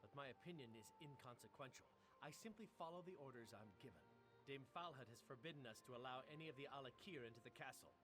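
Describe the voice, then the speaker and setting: A man's voice: mid-range voice, lively intonation, quick pace, over music, lightly acted. Stern fantasy castle guard, played by a man, scornful and distrustful. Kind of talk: game voice acting.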